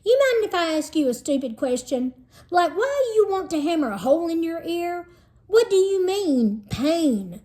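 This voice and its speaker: A woman's voice: very high voice, very animated, normal speed, mellow, voice pushed up. A woman reading a storybook as a reluctant, wry fourth-grade boy, plain-spoken and questioning. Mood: skeptical.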